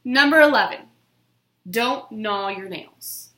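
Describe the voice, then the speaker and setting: A woman reads a children's book aloud in a theatrical, expressive way. Her voice is bright and swoops widely, taking its time over each phrase in a roomy, echoing space. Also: fairly high pitch, slow. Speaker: storybook reader. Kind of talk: dramatic children's book reading. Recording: roomy, echoing room sound.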